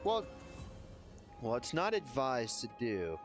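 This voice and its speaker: Animated man commenting on a game stream. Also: medium pitch, takes it slowly. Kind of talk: casual live-stream commentary. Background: game music.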